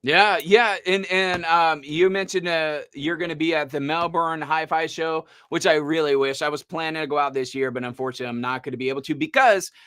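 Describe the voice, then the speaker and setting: A man hosting a casual live stream, joking around and greeting viewers by name in an animated way. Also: on the high side, a little fast, few pauses, clean tone, no echo. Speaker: live-show host.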